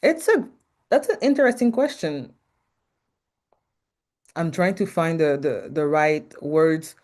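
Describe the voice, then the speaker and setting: A woman from Canada with a French Canadian accent, a podcast guest and marketing advisor who speaks frankly in a loud voice, pausing often with ums. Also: low-pitched, expressive. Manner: frank, hesitant with many ums, laughs often. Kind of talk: interview conversation.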